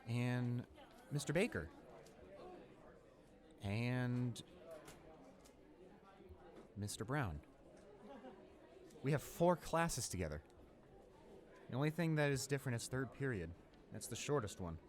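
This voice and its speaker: A friendly teenage guy in his last year of high school, acted by a young man, in a casual roleplay audio drama. He rambles and hesitates, easily flustered and quick to apologize. His voice sits at a medium male pitch. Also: animated, some chatter behind. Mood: eager, friendly.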